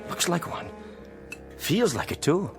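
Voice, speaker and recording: A gruff, busy American policeman in a radio play. He talks bluntly and folksily with a very lively pitch, over faint music. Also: unhurried. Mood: amazed. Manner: folksy, blunt, ungrammatical cop talk. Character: gruff, busy, plain-spoken male police officer. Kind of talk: radio drama acting.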